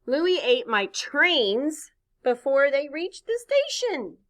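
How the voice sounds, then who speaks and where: Lively, bright-voiced woman reading a storybook. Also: high voice. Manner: expressive, pauses to point things out and ask questions. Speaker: storybook reader. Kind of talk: children's read-aloud with asides to listeners.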